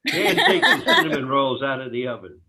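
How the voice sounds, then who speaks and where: A man's voice: mid-range voice, wildly swooping pitch, a little fast, vocal fry, bright, forceful tone, slightly rough. A man reading stage directions as scripted narration for a table read. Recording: tinny phone-like call line.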